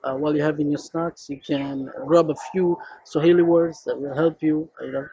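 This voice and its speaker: A man's voice: fairly high pitch, fairly even intonation, normal speed, warm tone, breathy edge, no echo, poor audio. A young man with a Kenyan accent, a friendly Swahili teacher introducing his course to camera on an outdoor rooftop, talking loosely with many fillers.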